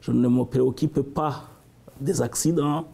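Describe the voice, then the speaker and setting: A man from the region, answering interview questions thoughtfully, with halting restarts. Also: mid-range voice, steady tone, gravelly, breathy edge. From African Great Lakes region. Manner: thoughtful, halting, with frequent restarts and warm asides.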